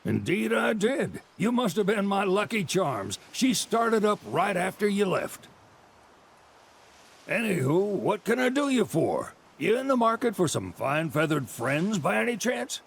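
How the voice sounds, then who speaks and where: An actor playing a folksy old rancher grandfather in a video game, with a gravelly Southern American accent and showy salesman patter. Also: high voice, very animated, measured pace, exaggerated voice. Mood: hospitable, chatty. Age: older. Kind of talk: video game cutscene acting.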